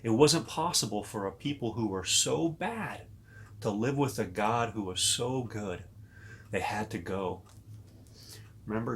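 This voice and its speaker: A man reading a children's story aloud as a pastor, pausing to talk directly and expressively to young listeners. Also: lowish voice, slight background noise.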